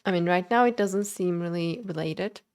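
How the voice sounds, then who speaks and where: A woman's voice: fairly low pitch, moderate pace, darkish tone, a little hiss or hum. A young woman streamer reacting casually to a game, thinking aloud and talking back to the characters.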